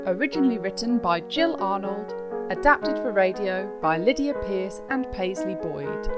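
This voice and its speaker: A woman's voice: medium pitch, animated, normal speed, highs cut off. A woman reading a scripted introduction to a radio play as its announcer. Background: loud piano music.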